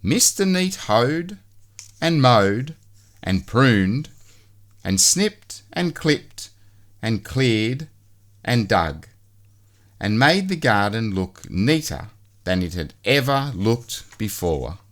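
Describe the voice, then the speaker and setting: A man reading a children's storybook aloud as narrator, in an expressive and deliberate way. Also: medium pitch.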